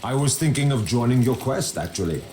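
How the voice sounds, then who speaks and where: A man's voice: mid-range voice, animated, a little fast, lightly acted. Man playing a courteous, loyal medieval nobleman, echoey. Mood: earnest, polite. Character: loyal, courteous Middle Eastern nobleman, childhood friend of a Sultan. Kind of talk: dramatic video game cutscene acting. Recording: echoey voice over loud game music.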